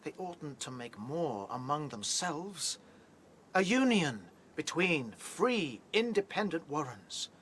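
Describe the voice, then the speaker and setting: A brave, level-headed rabbit leader in a radio play. He speaks earnestly and decisively in a highish, very mobile voice, with faint ambient sound behind him. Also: very animated, takes it slowly, a bit bright, slight background noise, exaggerated voice. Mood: earnest diplomacy. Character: brave, reasonable young male rabbit chief. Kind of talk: radio drama acting.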